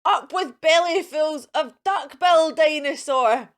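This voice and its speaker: Woman acting bratty young tyrannosaur, shrill high voice, loud, scornful, excitable shouting, children's storybook. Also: takes it slowly. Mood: bloodthirsty. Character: fierce, bullying young tyrannosaur siblings, loud and mocking.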